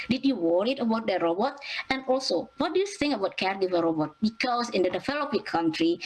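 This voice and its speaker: A young woman from Indonesia with an Indonesian accent, presenting at an academic conference in a halting way, with many fillers and restarts. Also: mid-range voice, rough recording. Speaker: student presenter.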